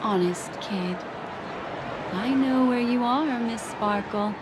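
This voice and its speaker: Composed, knowing mystery lady, highish voice. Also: slow, very noisy. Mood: coolly amused. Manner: calm, coolly teasing. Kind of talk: video game voice acting.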